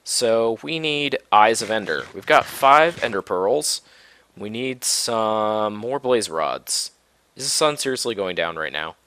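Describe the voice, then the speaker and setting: A deep-voiced man, chatting in a loose, meandering way as he plays. His voice sits low but has a bright, forward edge. He is coming down with a cold, and the recording is close and dry. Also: animated, slow, smooth, no echo. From Minneapolis-Saint Paul area, US. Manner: laid-back and rambling, many ums and restarts. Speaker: Minecraft let's play host. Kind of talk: casual gameplay commentary.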